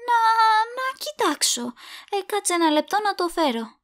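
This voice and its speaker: A woman acting an anxious, wistful young woman in a Greek audio drama, expressive and conversational. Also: extremely high pitch. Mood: flustered, evasive.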